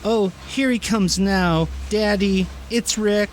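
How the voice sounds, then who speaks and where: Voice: medium pitch, steady tone, slow pace, slight background noise, natural voice. A performer playing a sassy, precocious young woman, flippant and smart-mouthed, in an amateur radio play. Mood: cheeky.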